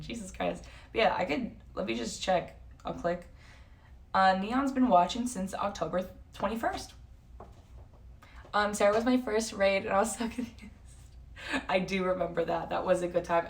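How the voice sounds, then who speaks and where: A woman's voice: medium pitch, vocal fry, distant mic. A woman in her early twenties with a North American accent, chatting casually to livestream viewers in a rambling, slangy, self-interrupting way. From San Jose, California and Toronto, Canada. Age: early 20s.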